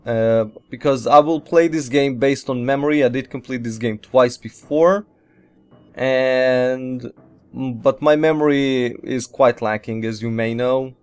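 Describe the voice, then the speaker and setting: A man with an average-pitched voice hosts a casual video game playthrough. He talks in a loose, rambling way, trailing through lists and padding them with repeated filler phrases. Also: fairly even intonation, measured pace, a little mellow, clean tone. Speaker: host of a gaming Let's Play series.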